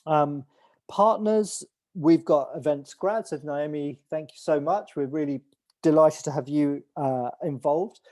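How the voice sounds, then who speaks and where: Man, raised pitch, mellow tone, rambling, restarts and fillers, hosting a video call. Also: unhurried. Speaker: event organizer hosting an online call. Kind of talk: casual briefing on a video call.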